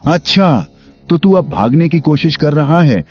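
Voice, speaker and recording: An actor playing the stern, avenging ghost of a murdered village headman in an animated moral story, with a commanding, theatrical delivery. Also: a little high, measured pace. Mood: menacing. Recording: dull lo-fi audio. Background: music.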